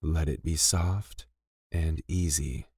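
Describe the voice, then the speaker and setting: Deep-voiced man guiding a meditation. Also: fairly even intonation, creaky, husky, no echo, studio quality. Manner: gentle, soothing, deliberate with pauses between phrases. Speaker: meditation guide. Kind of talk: guided meditation, scripted.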